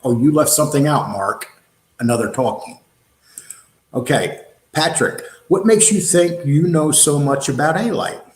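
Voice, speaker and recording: A man's voice: on the high side, unhurried, reverberant. A man with a New York accent hosting a live online show, answering viewer comments in a blunt, combative way, with many fillers and restarts.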